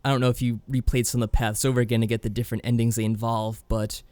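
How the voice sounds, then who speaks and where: Male podcast co-host, quick casual delivery, medium pitch, dry close sound. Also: little pitch movement, a little mellow, clear, resonant tone, no echo. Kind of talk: casual discussion and review.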